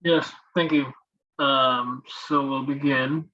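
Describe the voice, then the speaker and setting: A man moderating a panel in a formal, measured and slightly hesitant manner, on dull call audio. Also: medium pitch, fairly even intonation.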